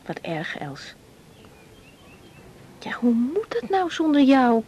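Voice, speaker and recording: An actress playing a curious, chatty woman doctor in a naturalistic radio drama, exclaiming and halting as she reacts to a confiding colleague. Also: high-pitched, big pitch swings, mellow, dull audio, slight background noise, full character voice. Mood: sympathetic.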